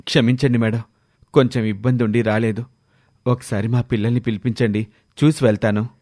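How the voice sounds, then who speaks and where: A man's voice: mid-range voice, level tone, a little fast, mellow, close to an everyday voice. Man as Srinivas, troubled pleading father. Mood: apologetic.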